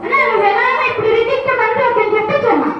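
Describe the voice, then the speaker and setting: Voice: very high voice, steady tone, very fast, over a noisy crowd. A performer voicing a whiny, complaining little child puppet who pleads and tattles in a live children's show. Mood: pleading. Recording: echoey hall from far off, muffled, noisy.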